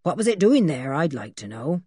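A woman's voice: deep voice, big pitch swings, a little fast, a little mellow, husky, no room sound, studio quality, heavily acted. A woman voicing a friendly, matter-of-fact village policeman in a storybook, with a ponderous manner. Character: stolid, friendly village policeman, adult man.

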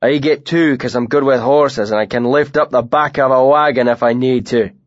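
A loud, swaggering mercenary with a thick northern accent, all mockery and menace, as a man puts him on. He drags out his taunts in a booming drawl. The sound is dry and a little muffled, like a home audiobook recording. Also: fairly even intonation, dry recording, close to an everyday voice. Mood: blustering. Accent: northern English dialect, put on. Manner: mocking, swaggering, drawn-out. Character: loud brawny bearded male mercenary bully.

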